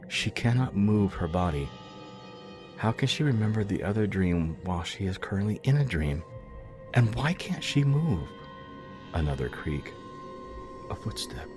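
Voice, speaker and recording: A man narrating scripted audio fiction in a dramatic storytelling style. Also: low-pitched. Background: music.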